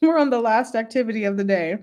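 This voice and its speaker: A woman's voice: a little high, animated, quick pace, darkish tone. A woman hosting a casual livestream, playful and informal, chatting and reading stories aloud. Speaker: host of a livestream.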